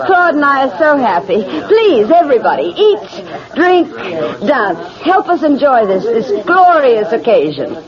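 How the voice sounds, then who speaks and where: An actress playing an elegant, romantic, anxious bride in theatrical, deliberate 1970s radio drama style. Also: female voice, high voice, big pitch swings, a crowd in the background. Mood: happy, gracious. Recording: muffled old radio recording.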